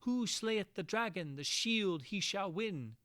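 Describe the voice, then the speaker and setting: A man voicing the narrator of an old chivalric romance, declaiming it loudly in grand, heroic, archaic storybook style. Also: animated, slow, smooth, dry recording, studio quality, heavily acted. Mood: grand, excited. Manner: loud, high-flown, heroic declaiming.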